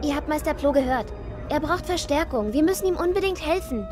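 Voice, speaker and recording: A woman's voice: sits high, expressive, brisk, music in the background. A woman playing an eager, impatient young girl apprentice in an audio drama.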